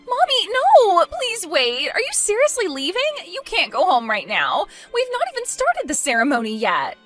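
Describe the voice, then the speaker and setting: A spoiled young bride in a dramatized family story, acted out by a woman. Her voice is high and swings widely as she whines and pleads petulantly, with music playing underneath. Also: huge pitch swings, normal speed, sharp tone, full character voice. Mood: desperate pleading. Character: spoiled, whiny, self-centred young bride, pleading with mother.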